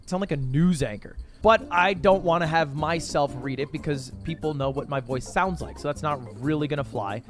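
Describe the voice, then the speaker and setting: Brief: confident male YouTuber, higher pitch, soft music under the voice. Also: normal speed, hardly any pauses. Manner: upbeat, confident. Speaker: YouTuber explaining his method. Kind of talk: casual explainer.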